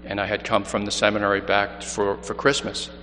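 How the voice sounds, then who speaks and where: A man's voice: on the low side, completely flat delivery, brisk, pushed and bright. A man from New York City, a missionary priest, reading the Gospel and then giving an earnest mission appeal homily with frequent restarts. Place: large church. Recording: long church reverb, faint hum.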